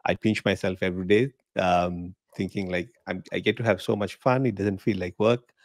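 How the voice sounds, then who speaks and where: A middle-aged man from Sri Lanka, a guest on a podcast video call, talking in a relaxed, chatty way with frequent laughs. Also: deep voice, normal speed, no room sound. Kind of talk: casual interview chat. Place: home living room. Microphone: podcast mic in frame, video call.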